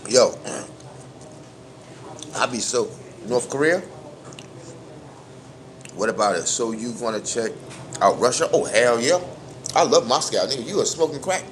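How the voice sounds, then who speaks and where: A man's voice: mid-range voice, a little slow, long pauses. A middle-aged man from New York with a Brooklyn accent, hosting a livestream and talking in an emphatic, preachy way full of street slang. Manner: emphatic, preachy, keeps asking "you understand me?"; street slang, animated storytelling. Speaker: livestream host. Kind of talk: casual livestream monologue.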